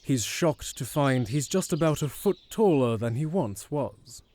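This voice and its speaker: A man's voice: mid-range voice, lively intonation, unhurried, a little mellow. A man reading scripted narration for an audio drama.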